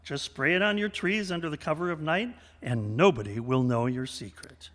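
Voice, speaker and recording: A man hosting a theatrical radio variety show in an animated, showmanlike way. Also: fairly high pitch, normal speed. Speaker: host. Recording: echoey hall, long reverb.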